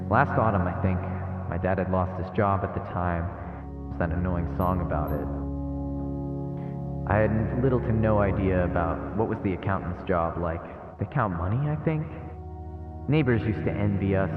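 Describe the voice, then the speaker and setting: A man streaming a visual novel, reading the narration aloud and adding casual asides. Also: on the low side. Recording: echoey, phone-like narrow sound, long reverb. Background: game music.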